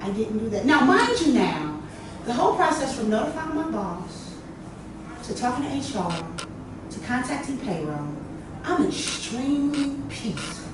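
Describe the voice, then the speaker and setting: A woman hosting a workshop, telling stories to a small group in a lively, chatty way, with a lot of "you know.". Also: high-pitched, moderate pace, far from the mic. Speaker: workshop host. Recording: echoey room, from afar, noisy.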